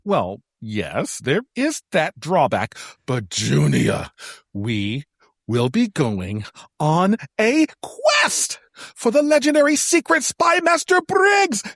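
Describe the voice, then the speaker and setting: A woman playing an excitable, grandiose young adventurer in a science fiction audio drama, speaking in a florid, mock-heroic way. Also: fairly low pitch, slow pace, no room sound, studio quality, heavily acted. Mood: excited, grandiose. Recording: music under the voice.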